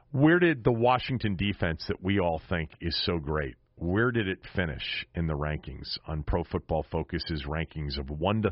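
Male sports podcast host, low-pitched, conversational and emphatic, recorded in a roomy space with slightly dull audio.

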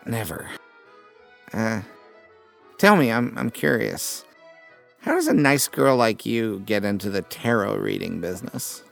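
A man as a gravelly-voiced, joking klutz. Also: on the low side, lively intonation, measured pace, pauses often, faint music behind. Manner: joking, easygoing. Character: clumsy good-humored young man, accident-prone. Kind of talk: comedic audio drama.

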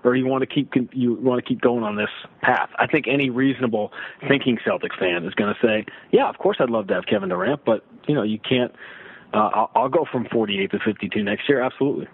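A man talking sports casually as a broadcaster guest, telling stories and throwing out rhetorical questions in an animated way. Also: medium pitch, fast, breathy edge. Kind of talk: casual sports radio discussion. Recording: roomy, thin phone-like radio line.

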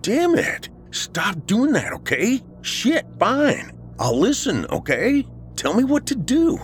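A man's voice: fairly low pitch, sing-song, measured pace, a little hiss or hum, pitched above the performer's own voice. A man playing a worried, loyal friend in a horror story, earnest and colloquial, easily exasperated. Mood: startled, exasperated. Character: worried, loyal male friend, rattled but practical. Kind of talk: audiobook character dialogue, horror story.

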